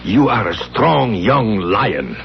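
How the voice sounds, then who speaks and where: A pompous, creaky-voiced theatrical maestro. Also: male voice, fairly low pitch, big pitch swings, heavily acted. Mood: dramatic, building. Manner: grandiose, deliberate, dramatic. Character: pompous, theatrical male acting coach with grand self-regard. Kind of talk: 1970s radio drama acting. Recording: dull, noisy old radio broadcast recording.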